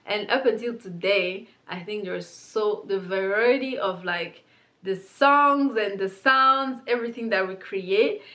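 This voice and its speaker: Woman, 30s, from Congo, English, relaxed presenter talking to camera in a small studio. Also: medium pitch, measured pace. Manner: chatty and warm, many fillers like "like" and "um". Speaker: beauty brand owner presenting her palette. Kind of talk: casual explanatory talk to camera. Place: indoor studio with plain pink backdrop.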